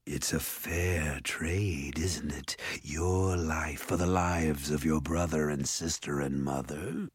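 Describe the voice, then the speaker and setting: A huge, cruel ogre from a storybook. He booms and growls his boastful threats very slowly, drawing out each grand phrase with menace. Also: fairly even intonation, husky, full character voice. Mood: soothing menace. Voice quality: booming, deep growl. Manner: slow, drawn-out, grandiose threats.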